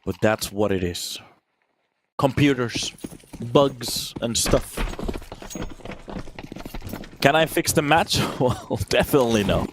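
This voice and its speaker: A man's voice: mid-range voice, slow, pauses often, background noise. Chatty thirty-something male esports caster. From Finland. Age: 30s. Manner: energetic, chatty, answers viewer questions between plays. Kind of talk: solo play-by-play commentary with chat replies.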